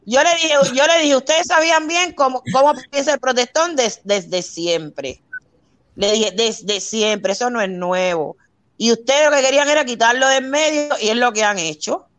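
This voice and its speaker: Cuban woman, high voice, dry close sound; lively retelling of a quarrel, Cuban accent. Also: moderate pace, no room sound. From Cuba. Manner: animated storytelling, quoting her own arguments. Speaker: guest on a live talk show. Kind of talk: casual heated chat.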